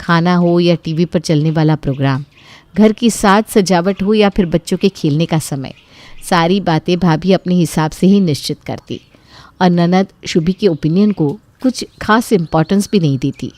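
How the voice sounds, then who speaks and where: A woman's voice: lowish voice, moderate pace, a little mellow, no room sound. Woman narrating a story, close dry recording. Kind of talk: scripted Hindi bedtime story narration.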